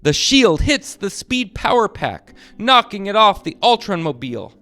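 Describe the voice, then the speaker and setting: A man reads a children's book aloud. His voice is a little high and swings widely with dramatic, expressive emphasis. Soft music plays underneath. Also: unhurried. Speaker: storybook narrator. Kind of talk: animated children's read-aloud narration.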